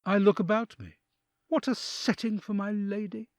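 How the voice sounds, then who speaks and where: A man's voice: sits high, fairly even intonation, moderate pace, dry recording, clean studio sound. A man playing an awed, anxious envoy who narrates a dramatic audio drama in the first person. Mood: awed, uneasy.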